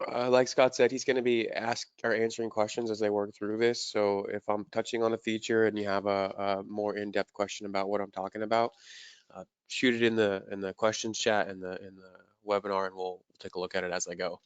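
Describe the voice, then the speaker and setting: A man presenting a software demo in a casual, upbeat way, his voice slightly raspy from allergies. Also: on the low side, level tone, a little fast, dry recording, highs cut off.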